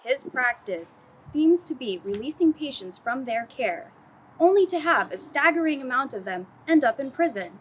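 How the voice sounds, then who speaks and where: A woman playing an earnest, skeptical local TV field reporter in a mock news report, measured and probing. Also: sits high, expressive, a little hiss or hum, low-quality recording. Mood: presenting.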